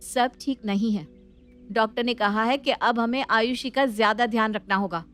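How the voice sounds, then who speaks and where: Woman doing a motherly sister-in-law in a story, protective, gentle, voice lifts a bit high. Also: normal speed, a little hiss or hum, natural voice. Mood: excited, teasing. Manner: fussing, protective, soothing.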